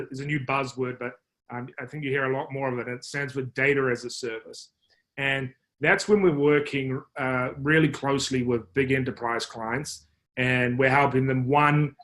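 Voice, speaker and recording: A man in his thirties with a New Zealand accent, a marketing executive answering interview questions in a measured, explanatory way, with frequent fillers and restarts. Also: medium pitch, fairly bright tone. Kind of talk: business podcast interview.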